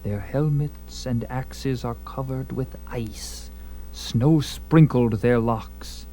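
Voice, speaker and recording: A man's voice: mid-range voice, slow, dark tone, rough recording. A man narrating a dramatized story in an intense, theatrical and portentous manner. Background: prominent music.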